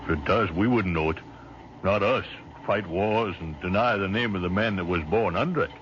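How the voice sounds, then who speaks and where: An actor playing a plainspoken, weary American soldier with an American accent and folksy, thoughtful talk, in old-time radio drama style. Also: male voice, low voice, moderate pace, lightly acted. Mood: earnest. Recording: noisy, muffled old radio recording.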